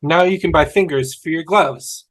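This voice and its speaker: A man's voice: on the high side, normal speed, close to an everyday voice. A man reading a cheerful, dim, good-hearted young man in a sitcom table read. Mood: cheerful, earnest.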